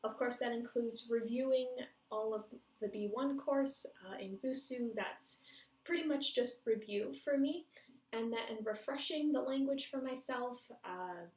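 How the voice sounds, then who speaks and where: A woman's voice: a little high, measured pace, a little mellow. Woman, casual language vlog, fillers and self-checks, recorded in an echoey room at some distance from the mic. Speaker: language-learning vlogger. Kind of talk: casual talk to camera. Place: home room, cars outside. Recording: echoey room, voice a bit far off.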